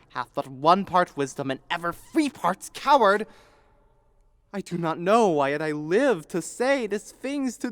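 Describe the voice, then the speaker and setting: Bitter, brooding young prince for an actress, slow and very expressive Shakespearean delivery, in long reverb. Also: female voice, on the low side, studio quality, heavily acted. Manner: deliberate, dramatic phrasing. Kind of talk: Shakespearean audio drama soliloquy.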